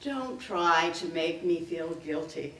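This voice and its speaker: Actress as bitter grieving daughter, slow and swooping. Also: female voice, sits low, lively intonation, a bit bright, slight background noise, heavily acted. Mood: defiant, grieving. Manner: biting sarcasm, emphatic dramatic delivery. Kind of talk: staged dramatic reading. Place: indoor live reading at a public library. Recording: echoey room, picked up from a distance.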